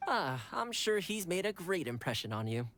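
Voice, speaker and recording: A woman's voice: on the low side, big pitch swings, normal speed, fairly bright tone, slight background noise. A woman playing an earnest, admiring young retainer in a video game, polite and deferential. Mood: flustered. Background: game music.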